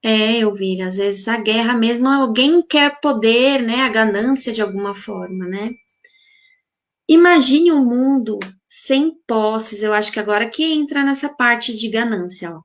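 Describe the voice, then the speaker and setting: A woman's voice: a little high, a little slow. A woman hosts a spiritual healing group, musing aloud in a live reflective talk and asking listeners many rhetorical questions. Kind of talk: live reflective talk answering viewer comments.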